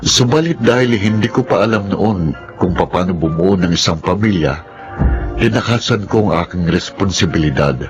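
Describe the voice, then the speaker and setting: A man's voice: low voice, moderate pace, music in the background, natural voice. An actor playing a struggling, apologetic husband and father in a Filipino radio drama, speaking in a weary way. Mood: regretful. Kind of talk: Filipino radio drama acting with first-person narration.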